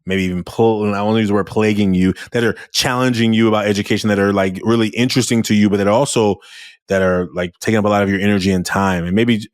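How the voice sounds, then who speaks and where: A man hosting an interview podcast, talking in a loud, animated way with frequent restarts. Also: on the low side, fast, clear, resonant tone, no echo, studio quality. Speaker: podcast host. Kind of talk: casual interview chat.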